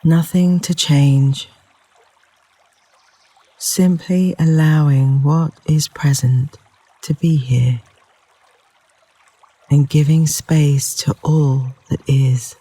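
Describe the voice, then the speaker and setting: A man leading a guided yoga nidra meditation in a gentle, soothing, unhurried manner with long pauses between phrases. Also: a little high, steady tone, slightly rough, no echo. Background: flowing river water sounds.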